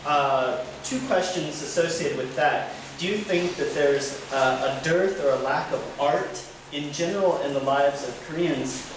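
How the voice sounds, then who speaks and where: A man moderating a Q&A at a public talk, asking deliberate, measured questions with frequent "uh" fillers. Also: fairly high pitch. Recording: echoey hall, voice far from mic, noisy.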